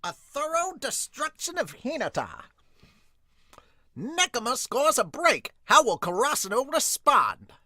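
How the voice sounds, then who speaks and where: A man voicing an excited, urgent volleyball announcer in a manga dub, with loud, punchy calls. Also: very high voice, measured pace, no echo, clean studio sound, voice pushed up, heavily acted. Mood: dramatic announcing.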